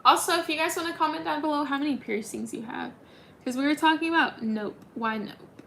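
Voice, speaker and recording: A woman's voice: sits high, fairly quick, creaky, a bit bright, slight background noise. A woman in her twenties hosting a casual livestream, chatting and answering viewer comments with lots of ums. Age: 20s. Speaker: livestream host.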